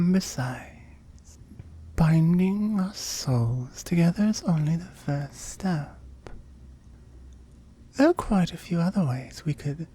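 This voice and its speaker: Woman voicing a breathy, slow-talking seductive oni demoness. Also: deep voice, mellow, full character voice. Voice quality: breathy, often whispering. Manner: slow, teasing, commanding. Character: seductive, possessive immortal female oni demon. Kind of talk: ASMR roleplay.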